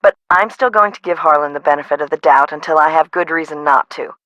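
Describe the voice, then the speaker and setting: A businesslike, exacting female boss in a video game. She speaks bluntly and matter-of-factly in a slightly low voice. The sound is close and dry. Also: fast, a bit bright, no echo, dull audio.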